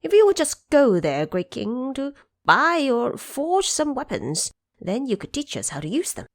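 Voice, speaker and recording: A woman's voice: high-pitched, big pitch swings, unhurried, dry recording, pitched above the performer's own voice, exaggerated voice. A woman voicing four elderly monkeys who respectfully advise their king in an audio drama. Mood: advising.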